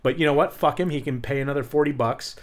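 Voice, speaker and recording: A man's voice: fairly high pitch, fast. A man co-hosting a podcast in casual chat, talking in a sarcastic, profane, ranting way. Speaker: podcast co-host.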